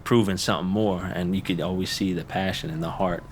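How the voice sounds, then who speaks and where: A man from the Bay Area, a friend of the rapper, speaking in an interview, thoughtful and halting, repeating words as he goes. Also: low-pitched, steady tone, slight background noise.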